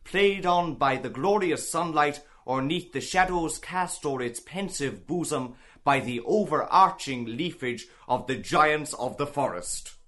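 Ned Lambert, high-voiced merry declaimer. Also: male voice, lively intonation, a bit bright. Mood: mock-grandiose declaiming. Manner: declamatory, theatrical, drawn-out reading. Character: Ned Lambert, merry man mockingly declaiming florid prose. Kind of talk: literary radio drama.

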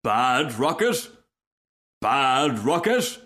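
A man's voice: mid-range voice, big pitch swings, unhurried, fairly bright tone, voice pushed up. A man voicing a vain, easily offended firework rocket in a storybook, speaking in a haughty, formal, self-important manner. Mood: indignant. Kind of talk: storybook character reading.

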